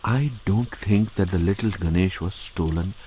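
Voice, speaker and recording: An actor with an Indian accent playing an excitable, blustering middle-aged novelist in a radio drama. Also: male voice, low-pitched, normal speed, slight background noise, natural voice, low-quality recording. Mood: defensive. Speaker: actor playing the detective's comic companion.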